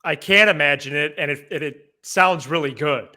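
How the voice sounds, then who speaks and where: Guy cohosting a sports podcast, pretty high bright voice, kinda stammers over words, chatty. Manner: halting, repeats words as he talks. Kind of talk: casual sports chat.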